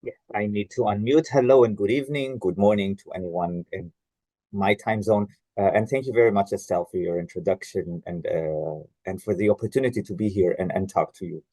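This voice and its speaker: A man from Israel, a genealogy researcher, giving an online presentation with many pauses and fillers. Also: lowish voice, warm tone, no room sound, rough recording. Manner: hesitant, many "uh" fillers and restarts. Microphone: video call.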